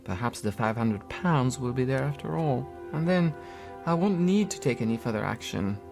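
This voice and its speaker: A man with a British accent playing a stern, patronizing, quietly threatening teacher in a radio drama. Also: medium pitch, lively intonation, vocal fry, music in the background. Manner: measured, probing, patronizing.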